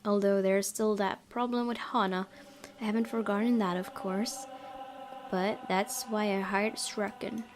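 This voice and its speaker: A woman hosting a gaming video, reading visual novel narration aloud in an expressive storytelling way. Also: medium pitch, unhurried. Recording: clear background noise.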